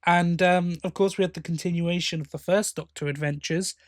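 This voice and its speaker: A man's voice: sits high, steady tone, fairly quick, no echo. A man co-hosting a fan podcast, giving opinions in a casual, conversational review style. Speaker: podcast host.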